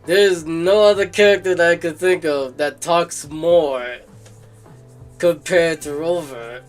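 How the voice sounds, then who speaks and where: Higher-voiced man streaming a game. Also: measured pace, soft background music. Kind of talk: casual playthrough commentary.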